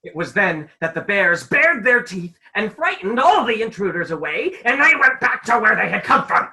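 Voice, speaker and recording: Woman as a bright, prim storybook narrator. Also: medium pitch, very animated, normal speed. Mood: storytelling. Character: prim, controlling fairy-tale storybook narrator.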